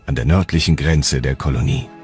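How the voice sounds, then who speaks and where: A man narrates a documentary from a script in a low, deep voice. Music plays clearly behind his narration. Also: fairly quick.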